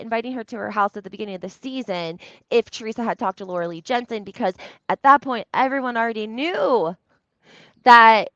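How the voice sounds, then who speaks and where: A woman with a fairly high voice talks through reality TV in a casual, opinionated way. She is conversational and leans on emphasis and rhetorical questions. The sound is a little muffled and lo-fi, with the echo of a room around her. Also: fairly bright tone. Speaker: reality TV recap commentator. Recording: roomy echo, slightly dull lo-fi sound.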